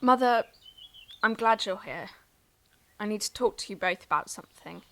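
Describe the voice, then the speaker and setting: A headstrong young daughter in an audio play, acted by a woman, with a bright, forceful voice at medium pitch. She is insistent and sarcastic, pushing back against her family's rules. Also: moderate pace, lightly acted. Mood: defiant. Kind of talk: audio drama acting.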